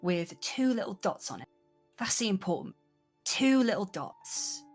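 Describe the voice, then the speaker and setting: A streetwise woman , played by a female gamer, coaxes in chummy, secretive slang. Her voice sits a little higher and stays playful and sly, as in a tabletop roleplay. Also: big pitch swings, unhurried, faint music behind. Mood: coaxing. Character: cheeky conspiratorial streetwise woman coaxing street kids.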